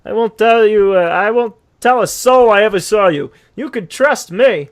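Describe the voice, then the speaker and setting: A man plays a hapless cartoon horse telling his sad tale in a high voice. He is earnest and nervous, stammering and melodramatic as he reads from an audio comic. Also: animated, moderate pace. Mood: nervous, pleading. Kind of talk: scripted audio comic narration.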